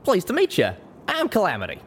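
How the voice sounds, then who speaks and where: A man's voice: high-pitched, wildly swooping pitch, brisk, a little hiss or hum, voice pushed up. A man voicing a friendly, easygoing pegasus cowboy with a folksy, hearty manner in a fantasy audio story.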